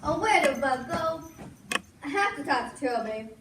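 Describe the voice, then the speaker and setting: A woman's voice: high voice, expressive, moderate pace. A woman playing a worried, superstitious frontier sister in a stage melodrama, dramatic and full of warning. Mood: worried, foreboding. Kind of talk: theatrical stage melodrama acting. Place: indoor small school stage before an audience. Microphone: far camera. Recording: distant echoey stage, clear background noise.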